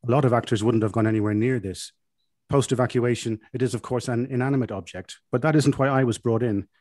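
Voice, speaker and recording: A man reading a scripted comic monologue aloud in a deadpan, self-satisfied manner. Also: mid-range voice, fast talker, no echo, clean studio sound.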